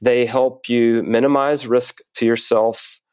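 A man speaking as an interview guest, explaining in a measured, articulate way with deliberate pauses. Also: medium pitch, little pitch movement, a little mellow, smooth, no echo. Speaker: podcast guest, writer and coach.

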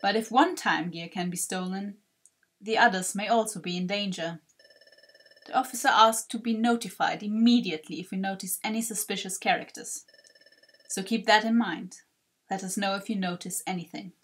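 A woman voices a bossy bird second-in-command from a video game. The delivery is formal and brisk, handing out instructions over background music. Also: medium pitch, pitched below the performer's own voice, lightly acted. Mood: stern, businesslike.

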